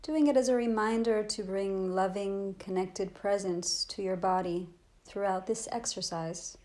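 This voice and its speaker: A woman teaching a guided body scan meditation in a gentle, soothing, unhurried manner with long pauses. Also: medium pitch, steady tone.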